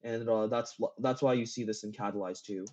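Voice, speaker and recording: A man in a casual gaming chat, speaking haltingly as a guest, repeating phrases and often giggly. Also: on the low side, fairly even intonation, a little mellow. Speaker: interview guest, game map builder. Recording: dull lo-fi voice chat.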